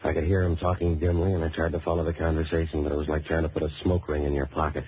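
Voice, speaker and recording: A cynical American noir hero from a 1940s radio play talks fast in a deep voice. He tosses off deadpan, sardonic wisecracks through a muffled old recording with faint noise. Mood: dry narration. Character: wisecracking, cynical waterfront boat-rental man, hardboiled noir hero. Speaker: lead actor and narrator.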